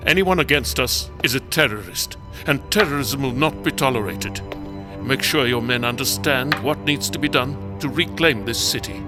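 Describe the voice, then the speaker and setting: A man's voice: medium pitch, normal speed, over music, lightly acted. A man playing a cold, authoritarian commander in a sci-fi audio drama, speaking with clipped, contemptuous formality. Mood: stern, commanding. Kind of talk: science fiction audio drama acting.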